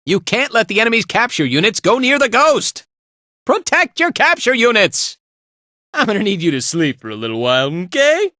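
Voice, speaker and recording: A man's voice: high-pitched, wildly swooping pitch, normal speed, pushed and bright, dry recording, studio quality, full character voice. An actor playing a laid-back, playful male fighter in a video game, with an easygoing, casual drawl. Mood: casual guiding. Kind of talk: animated video game voice lines.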